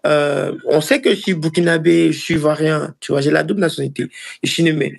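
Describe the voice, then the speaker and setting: A man in his early twenties with an Ivorian accent, a footballer answering interview questions casually and haltingly, often repeating phrases. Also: fairly high pitch, fairly even intonation, vocal fry, slightly rough. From Ivory Coast. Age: early 20s. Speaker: professional footballer being interviewed.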